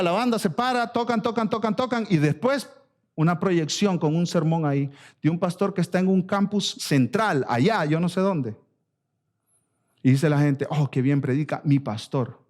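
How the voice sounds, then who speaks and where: Latin American pastor preaching, higher-pitched voice. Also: male voice, darkish tone. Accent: Latin American Spanish. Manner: emphatic, repeats phrases, asks rhetorical questions. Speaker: pastor preaching to his church. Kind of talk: expository sermon with direct exhortation.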